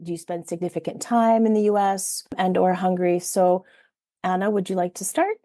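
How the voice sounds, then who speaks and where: A woman's voice: fairly low pitch, moderate pace, mellow, no room sound, studio quality. A woman hosting a podcast, greeting listeners and introducing her guests in an upbeat, welcoming way. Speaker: podcast host. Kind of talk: scripted podcast intro and interview.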